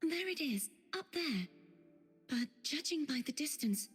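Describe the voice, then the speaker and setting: An actress playing an earnest, composed young female guide in a fantasy video game, with a soft, breathy voice. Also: high voice, expressive, unhurried. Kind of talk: video game dialogue acting. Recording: long reverb, music behind. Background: game music.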